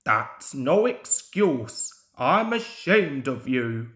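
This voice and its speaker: Man playing a stern, slow-spoken railway controller. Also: medium pitch, very animated, fairly bright tone, roomy sound, pitched below the performer's own voice, exaggerated voice. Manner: firm, disapproving, authoritative. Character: stern, small male railway controller scolding engines.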